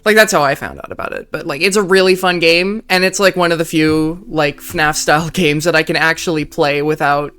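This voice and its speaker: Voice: mid-range voice, steady tone, fairly quick, hardly any pauses, a bit bright, clear, resonant tone. A streamer wrapping up a live game session in casual chat, talking freely with lots of "like" and swearing.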